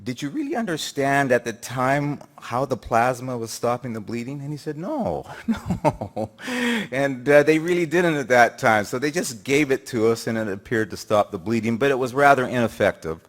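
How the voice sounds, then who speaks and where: A loud, jovial man in his sixties giving a self-deprecating personal talk at a podium microphone in a lecture hall. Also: mid-range voice, lively intonation, moderate pace. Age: 60s. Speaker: patient speaker.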